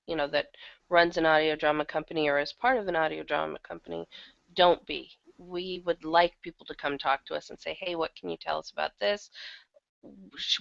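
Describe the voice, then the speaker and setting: A woman's voice: lowish voice, gravelly, dry recording, dull audio. A woman with a slightly worn, thrashed throat, talking casually and candidly as an interview guest who acts in audio drama.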